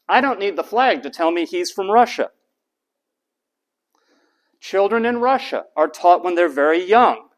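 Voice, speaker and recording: Animated, high-pitched male lecturer. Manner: animated, asks rhetorical questions and answers them. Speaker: university lecturer. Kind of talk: classroom lecture. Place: classroom.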